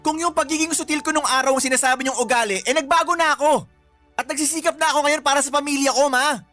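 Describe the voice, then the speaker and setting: An aggrieved grown son in a Filipino radio drama. He pleads and protests in a wounded, indignant way. Soft music sits behind the voice. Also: extremely high pitch, fairly even intonation, quick pace, no room sound. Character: hurt, aggrieved grown son, a working-class waiter. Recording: faint music bed.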